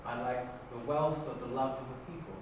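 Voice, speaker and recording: A man's voice: medium pitch, fairly even intonation, normal speed, lightly acted. Man playing self-important councillor, very echoey room. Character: earnest, self-important male Time Lord council member. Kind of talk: improvised sci-fi drama audition. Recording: very echoey room, distant mic, clear noise.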